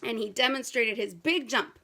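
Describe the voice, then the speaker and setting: Lady reading a picture book to little kids, high bright voice, asks them stuff as she goes. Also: normal speed, vocal fry. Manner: asks listeners questions, dramatic gasps and repeated words. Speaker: storybook read-aloud host for young children. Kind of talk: animated, interactive picture-book read-aloud.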